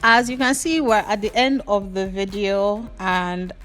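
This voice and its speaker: A young Nigerian woman with a Nigerian accent tells a story playfully while she talks a viewer through a hair tutorial. Her voice sits in a middle pitch. She moves between slow, careful instructions and quick, gossipy asides, with faint music under her voice. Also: fairly even intonation, a bit bright. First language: Yoruba.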